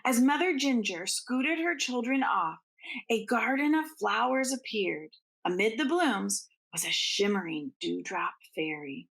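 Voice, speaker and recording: A woman's voice: on the high side, animated, unhurried, vocal fry, a bit bright, poor audio. A woman reading a storybook aloud to children like a schoolteacher, in an informal way. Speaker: teacher reading aloud to students.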